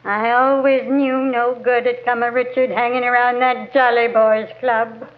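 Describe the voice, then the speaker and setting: Mournful, disapproving wife played by a woman, high voice, slow drawn-out terse delivery, old-time radio comedy, muffled. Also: flat delivery, close to an everyday voice. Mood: cold, disapproving. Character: nervous, suspicious, mournful abandoned wife. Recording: muffled vintage radio recording.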